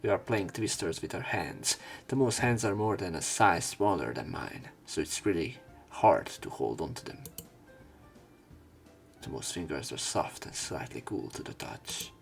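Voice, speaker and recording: Low-voiced man with a gravelly creak. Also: expressive, unhurried, faint music behind. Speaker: let's play narrator. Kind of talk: reading a visual novel aloud with casual commentary.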